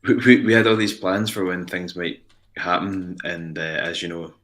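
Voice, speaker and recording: Young Scottish male musician, low bright voice, rambling casual guest chat. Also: fairly even intonation. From Scotland. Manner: rambling, halting, repeats words.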